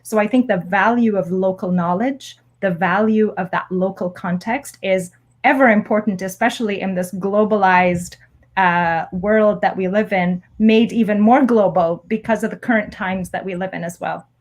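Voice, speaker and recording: A woman's voice: mid-range voice, moderate pace. Female academic guest from Egypt, mid-range voice, articulate and explanatory. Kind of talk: interview answers.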